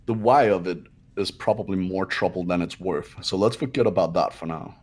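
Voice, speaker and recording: A man playing a husky, arrogant young genius. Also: sits low, brisk. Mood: cool, explanatory. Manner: haughty, declarative. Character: arrogant, cold, accusing young rich genius. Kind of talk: amateur game dub, dramatic reading.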